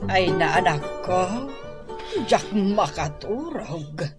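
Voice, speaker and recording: A woman playing a fretful, nagging mother who longs for a grandchild, husky and insistent, in an Ilocano radio drama. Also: on the low side, expressive, takes it slowly, loud music behind the voice. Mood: worried. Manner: insistent, worrying.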